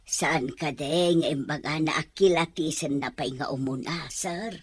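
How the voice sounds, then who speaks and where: Husky, cautioning Ilocano maid. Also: female voice, low-pitched, a little slow, no echo. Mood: wary, deferential. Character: nosy, cautioning female household helper, deferential. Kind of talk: Ilocano radio drama acting.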